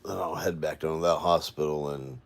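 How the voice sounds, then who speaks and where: Man, forties, very deep voice, exhausted, rambling, casual livestream from home. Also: steady tone, brisk, gravelly. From Florida, USA. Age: 40s. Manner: weary, rambling, venting to viewers. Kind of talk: casual late-night livestream chat. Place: at home, indoors.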